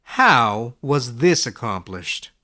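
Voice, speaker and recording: A man playing a skeptical but kindly professor in a storybook, with a dry, measured, gently lecturing manner. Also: medium pitch, sing-song, full character voice. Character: wry, skeptical, kindly male professor, unhurried and quick-witted. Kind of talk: storybook character voice in audiobook narration.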